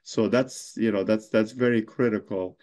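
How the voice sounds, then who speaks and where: A middle-aged man from Lebanon with a fairly low voice speaks off the cuff. He answers in a halting way, with many fillers, repeated words and restarts. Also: fairly even intonation, a little mellow. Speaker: mayor being interviewed. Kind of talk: unscripted interview answers.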